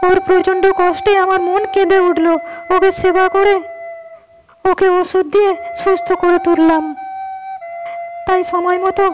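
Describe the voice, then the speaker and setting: A woman's voice: very high-pitched, flat delivery, unhurried, long pauses, over music. A woman voicing a humble, kind-hearted young shepherd in a storybook cartoon, speaking earnestly. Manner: earnest, pleading.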